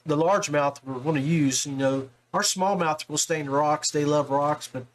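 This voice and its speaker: A man's voice: on the high side, fairly even intonation, moderate pace, rough recording. A man hosting an informal podcast interview, talking casually and often rephrasing himself as he goes.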